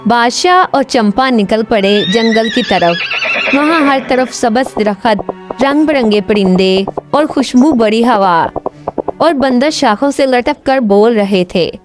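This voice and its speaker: Slow, high-voiced woman storyteller. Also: animated, soft background music. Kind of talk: scripted children's story narration.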